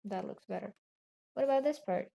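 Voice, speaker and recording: Raspy, sleepy-voiced woman drawing on stream. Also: medium pitch. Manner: soft, unhurried commentary on her own drawing. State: just woke up from a nap. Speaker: digital art streamer. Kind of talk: casual narration while drawing.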